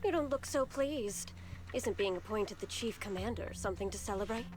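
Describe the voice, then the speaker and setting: Woman playing sly conspirator, composed, needling, video game drama, medium female pitch, music behind. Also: expressive, moderate pace, natural voice. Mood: sly, teasing. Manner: smooth, teasing, unruffled. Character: composed, sly female schemer, coolly needling her ally.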